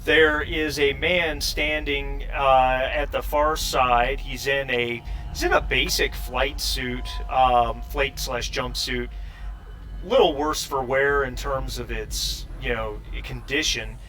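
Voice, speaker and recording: A man narrating a tabletop game as game master, improvising with halting pauses. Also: medium pitch, steady tone, sharp tone, faint music behind. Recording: distant mic with background noise.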